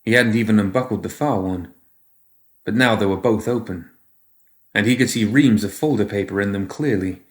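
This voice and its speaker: Low-voiced man narrating, roomy sound. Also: normal speed. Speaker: story reader. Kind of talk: scripted fiction narration.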